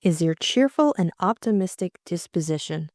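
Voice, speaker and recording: A woman's voice: on the low side, animated, normal speed, a little mellow, no echo, studio quality, natural voice. A woman voicing a spirited, sarcastic young society woman in an audiobook. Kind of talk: audiobook character dialogue.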